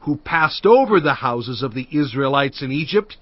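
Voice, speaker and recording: An actor playing an earnest Hebrew leader who gives emphatic instructions to the elders. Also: male voice, mid-range voice, animated, normal speed, dry recording, lightly acted. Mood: commanding. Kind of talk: dramatized scripture reading. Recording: dry, dull audio.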